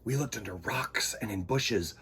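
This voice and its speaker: A man's voice: lowish voice, moderate pace, background noise. A man hosting storytime, reading a picture book aloud in a dramatic, playful, emphatic way for children. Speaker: children's storytime host and reader.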